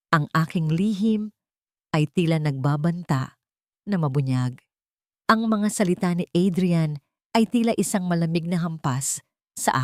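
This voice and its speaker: A woman narrating a first-person dramatic audiobook in a steady, measured way. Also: low voice, no echo, clean studio sound.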